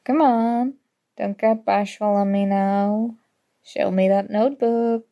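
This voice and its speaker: Woman doing a snarky college girl academic rival, asmr roleplay, slow and teasing, kinda high voice w lots of fry. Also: steady tone, mellow. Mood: mocking, grudgingly helpful. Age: young adult. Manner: sarcastic, teasing, exasperated. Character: snarky competitive college student, grudgingly fond academic rival. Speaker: audio roleplay voice actor.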